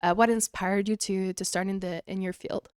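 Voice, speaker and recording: Clear-spoken woman hosting a podcast. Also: mid-range voice, steady tone, moderate pace. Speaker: podcast host. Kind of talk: scripted podcast intro, casual interview chat.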